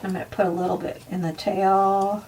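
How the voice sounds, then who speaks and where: A woman with a slightly hoarse voice, narrating a casual art tutorial softly and unhurriedly, with frequent ums and pauses. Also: mid-range voice, flat delivery, recorded from a distance, slight background noise, poor audio.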